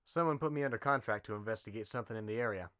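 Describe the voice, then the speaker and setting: A man's voice: fairly low pitch, racing, fairly bright tone, lightly acted. An actor playing an easygoing, laconic man travelling alone on a contract job, casual and understated. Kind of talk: amateur western film acting.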